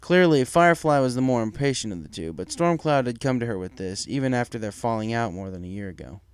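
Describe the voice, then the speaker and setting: A man's voice: medium pitch, expressive, fast, clean tone. A man giving scripted narration of a dramatic story as its narrator.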